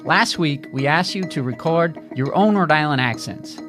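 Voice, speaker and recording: A man's voice: a little high, expressive, moderate pace. Male podcast host over music. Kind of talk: scripted podcast narration.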